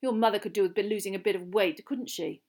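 A woman's voice: mid-range voice, fast talker, darkish tone, lightly acted. Woman as sneering, snobbish schoolboy bully. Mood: sneering, mocking. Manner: sneering, taunting.

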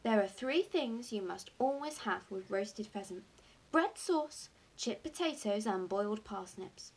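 A woman voicing a jubilant, boastful father in a storybook, excited and grandly emphatic as key words are drawn out. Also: medium pitch, unhurried, husky. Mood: grand, enthusiastic. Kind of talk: acted audiobook dialogue.

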